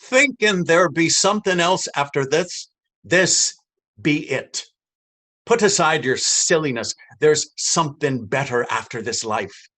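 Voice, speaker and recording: An actor playing a cruel, taunting police officer in a stage drama, with a mocking, menacing drawl. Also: male voice, a little high, lively intonation, measured pace, pushed and bright, full character voice. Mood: smug, dismissive. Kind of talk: stage readers theater drama.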